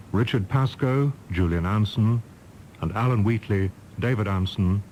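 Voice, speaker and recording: A man's voice: lowish voice, darkish tone, slight background noise, low-quality recording. A man reading scripted credits as a radio announcer.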